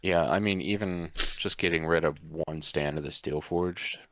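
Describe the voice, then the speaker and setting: A man's voice: low voice, steady tone, moderate pace, fairly bright tone, no echo. A man chiming in with short agreeing remarks as co-commentator in a casual chat. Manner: brief agreeing interjections. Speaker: co-host commentator.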